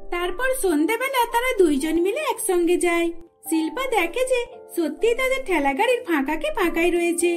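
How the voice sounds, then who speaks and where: A woman narrates a scripted cartoon tale. Her voice is extremely high in pitch, and music plays along behind her. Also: lively intonation, brisk. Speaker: storyteller.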